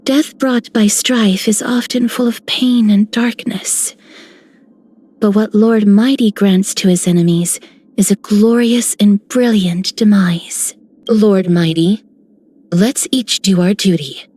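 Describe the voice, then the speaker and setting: A woman playing a gentle, solemn young maiden of death in a video game, with a breathy, whispery voice. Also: medium pitch, fairly even intonation, takes it slowly, dry recording.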